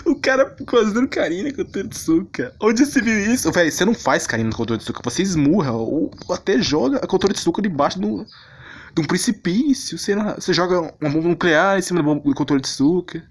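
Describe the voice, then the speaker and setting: A man's voice: sits high, sing-song, fairly quick, slightly rough. A Brazilian man in a casual, rambling, mock-outraged rant of commentary, full of slang. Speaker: video creator. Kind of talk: casual ranting commentary on anime characters. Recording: noisy home recording with clicks.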